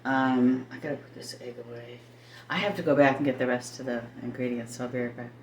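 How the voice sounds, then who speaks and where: A woman's voice: extremely low pitch, steady tone, fast talker, a little mellow. A middle-aged woman chatting casually and thinking aloud as she demonstrates home cooking, in a rambling manner. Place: home kitchen, indoors. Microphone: camera set a little away. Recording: roomy, distant mic, faint noise, kitchen clatter.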